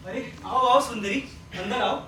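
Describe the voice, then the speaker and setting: A kindly middle-aged father, acted by a man in a stage play. He speaks in a measured, forgiving and reassuring manner. The recording is echoey and distant, with faint noise behind. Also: extremely high pitch, pushed and bright. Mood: concerned, hopeful. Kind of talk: Hindi stage play acting.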